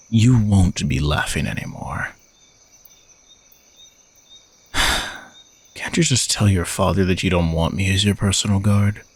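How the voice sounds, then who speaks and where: A man's voice: lowish voice, slightly rough, no echo. A man playing an arrogant, sardonic knight who is a reluctant royal bodyguard, teasing and condescending in a romantic audio roleplay. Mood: exasperated, smug. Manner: teasing, dry, exasperated sighs, condescending.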